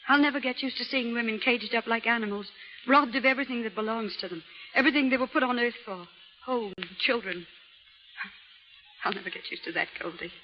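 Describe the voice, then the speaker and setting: A woman's voice: a little high, fairly quick, natural voice. An actress playing a young female convict, a naive country girl who pleads and despairs, in a vintage radio drama. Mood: weary. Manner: pleading, distraught. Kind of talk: 1940s radio acting. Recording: dull lo-fi old radio transcription with faint hiss.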